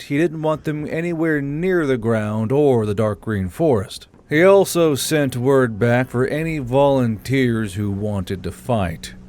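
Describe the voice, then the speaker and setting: A man's voice: medium pitch, darkish tone. A man who hosts a story channel, speaking as the narrator of fantasy audiobook tales.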